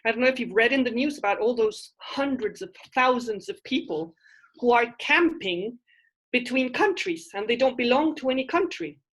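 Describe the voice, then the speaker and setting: A middle-aged woman teaching a university literature class from home, speaking through a headset on a video call, animated and often asking "right?". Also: a little high, normal speed, poor audio. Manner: animated, gestures while explaining, asks "right?" often. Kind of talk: online class discussion. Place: home room with bookshelves.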